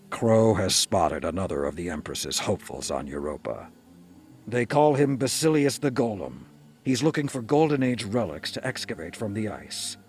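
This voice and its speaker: An actor playing a grave, commanding male military leader, giving a measured, authoritative mission briefing in a video game. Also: deep voice. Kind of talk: dramatic scripted mission briefing.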